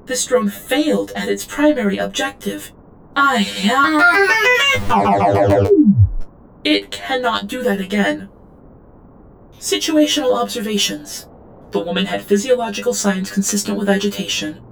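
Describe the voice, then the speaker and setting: A woman's voice: medium pitch, expressive, a little slow, vocal fry, pauses often, fairly bright tone, soft background music, low-quality recording. A woman playing an obedient female servant drone in an audio drama, reporting in a stilted, clinical third-person manner. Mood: clinical, subdued. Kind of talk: scripted audio drama monologue.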